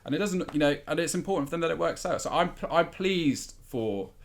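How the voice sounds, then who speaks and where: A man giving conversational football analysis as a podcast pundit, measured and articulate. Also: on the high side, expressive.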